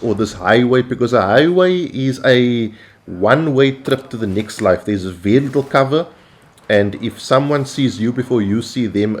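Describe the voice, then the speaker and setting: South African man, low voice. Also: a little mellow. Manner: measured, instructional, with frequent "um" and "uh" pauses. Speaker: gaming channel host. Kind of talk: gameplay commentary.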